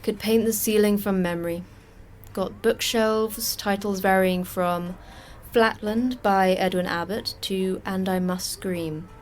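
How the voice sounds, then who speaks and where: A woman's voice: mid-range voice, steady tone, slow pace, slight background noise, lightly acted. A woman playing a jaded, terse station manager in an audio drama, dry and matter-of-fact. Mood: wry briefing. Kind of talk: audio fiction podcast acting.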